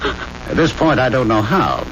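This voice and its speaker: An actor playing a cold, menacing military officer in a 1970s radio drama, formal and coolly condescending. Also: male voice, mid-range voice, huge pitch swings, unhurried. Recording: muffled, noisy old radio recording.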